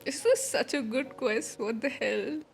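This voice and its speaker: A young woman with a high voice streams her game reactions. She talks slowly and informally, in an emotional, gushing way, with faint music behind her. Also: vocal fry. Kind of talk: casual livestream reaction chat.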